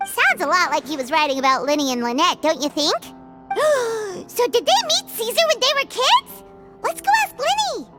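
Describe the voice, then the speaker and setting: A woman voicing a tiny, curious and excitable floating companion girl in a video game, exclaiming eagerly and referring to herself in the third person. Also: very high-pitched, moderate pace. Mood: excited. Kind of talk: video game cutscene dialogue. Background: background game music.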